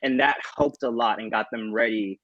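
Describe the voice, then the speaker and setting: A man's voice: medium pitch, normal speed, dry recording, muffled recording. A man talking informally as a panelist about his directing work, in loose, unscripted phrasing. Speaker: panelist, audio drama director. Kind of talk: casual panel talk.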